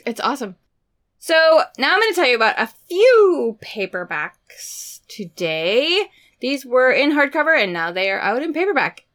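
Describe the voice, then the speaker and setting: Bubbly woman in her forties, lively pitch. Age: 40s. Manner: bubbly, giggly, excitable. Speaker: podcast co-host. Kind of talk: casual book chat.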